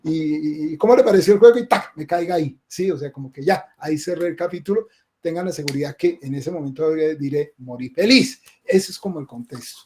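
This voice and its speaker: A Colombian man hosting a webinar over a video call, a game streamer who chats casually and tags his remarks with quick asides. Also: on the high side, mellow.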